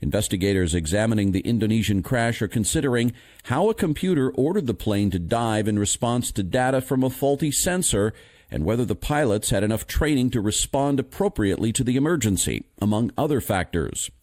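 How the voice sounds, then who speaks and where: A man reading scripted news stories in a measured, formal manner. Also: medium pitch, lively intonation, darkish tone, dry recording. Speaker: news reader.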